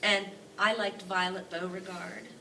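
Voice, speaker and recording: A woman storyteller with a mid-pitched voice reads to a child, expressive and chatty. She is a little away from the mic, with clear noise behind her. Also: unhurried. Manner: prompting with questions. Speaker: read-aloud storyteller. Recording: distant mic, clearly noisy room.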